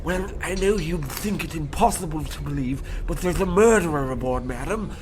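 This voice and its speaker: A man playing an earnest, excitable professor sidekick with formal, exclamatory speech in an old-time radio comedy. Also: on the high side, normal speed, lightly acted.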